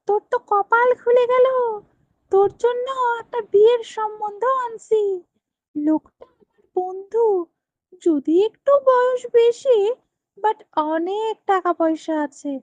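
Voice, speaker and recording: A woman's voice: very high voice, darkish tone, no room sound. A woman voicing a persuasive, scheming village friend who arranges a marriage in a cartoon. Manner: persuasive, coaxing. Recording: dull lo-fi dry dubbing.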